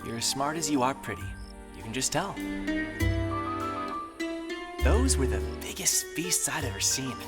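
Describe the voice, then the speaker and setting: Male actor as charming young Sicilian musician, gentle teaser, animated pitch, radio drama with score behind. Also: unhurried, long pauses. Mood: earnest, admiring. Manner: gentle, teasing, courting. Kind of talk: radio play dialogue. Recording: loud soundtrack music behind voice.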